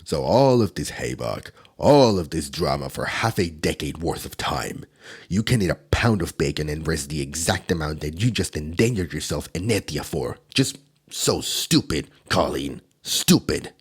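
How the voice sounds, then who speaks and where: A man playing a stern male demon enforcer who is gruff but protective, speaking in a measured, scolding way in an ASMR audio roleplay. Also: lively intonation, exaggerated voice. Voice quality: deep gravelly husky voice. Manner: scolding, measured, low-key delivery.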